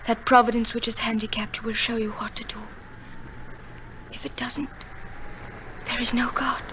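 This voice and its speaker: A woman playing an earnest, tender young woman who narrates a remembered story in a radio drama, measured and full of feeling. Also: fairly high pitch, little pitch movement, background noise, close to an everyday voice. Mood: pleading, anxious.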